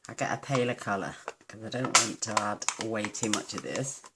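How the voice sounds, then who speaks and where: A woman gives a chatty card-making demonstration, gushing over her work as she goes. Her voice is croaky, with a gravelly fry at the edges. A steady hiss runs under the home recording. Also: very deep voice, moderate pace. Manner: gushing, talks to viewers while working.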